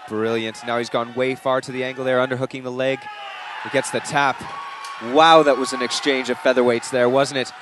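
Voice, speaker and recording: Measured British man analysing a fight. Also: medium pitch, level tone, crowd noise, background noise. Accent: British English. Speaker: MMA co-commentator. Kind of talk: live fight commentary.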